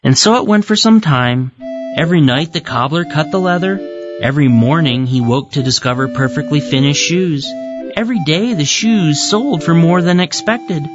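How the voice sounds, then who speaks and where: A narrator reading a children's story aloud in an expressive, deliberate storytelling way for young learners. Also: mid-range voice. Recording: muffled lo-fi audio with music behind. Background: music.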